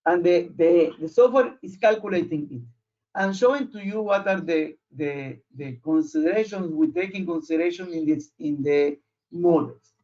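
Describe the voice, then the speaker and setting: A man who is not a native English speaker, giving an explanatory technical presentation in a halting way, searching for words. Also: a little high, a little mellow. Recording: muffled, dull online audio.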